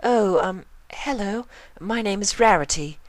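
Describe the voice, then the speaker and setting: A woman's voice: medium pitch, unhurried, no echo, voice pushed up, close to an everyday voice. A woman voicing a polite, refined young unicorn mare in a cartoon story, genteel and hesitant. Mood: nervous, polite.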